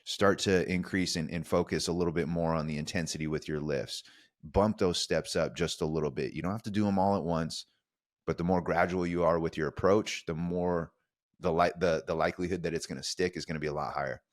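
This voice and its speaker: A man's voice: low-pitched, steady tone, a little fast, no room sound, clean studio sound. A young man co-hosting a podcast, giving earnest coaching advice in a casual tone and calling listeners "dude". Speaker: podcast co-host.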